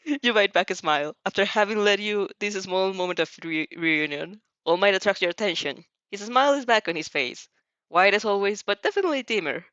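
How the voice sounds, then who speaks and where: A woman's voice: medium pitch, fairly quick, fairly bright tone, no room sound, lo-fi sound. A woman reading a story aloud expressively as an amateur, often stumbling and rereading phrases. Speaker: fanfiction audiobook reader.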